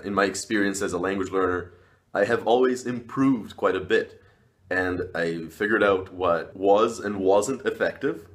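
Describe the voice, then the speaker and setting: Low-voiced man giving advice. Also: fairly bright tone. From Brazil. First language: Portuguese. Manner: earnest, measured, with frequent "uh" and "you know". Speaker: language vlogger. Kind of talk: talking-head video advice.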